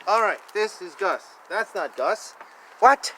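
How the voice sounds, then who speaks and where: A man reading children's picture books aloud in a playful, expressive way, with casual asides and repeated phrases. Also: high voice, slow. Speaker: children's book reader. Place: outdoors. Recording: noisy outdoor ambience. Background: outdoor ambience, animal and vehicle sounds.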